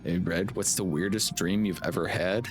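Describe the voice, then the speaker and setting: A man's voice: low voice, fairly even intonation, normal speed. A man livestreaming a video game, chatting in a casual, laid-back and sarcastic way with some swearing. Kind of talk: casual gaming commentary with swearing. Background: game music.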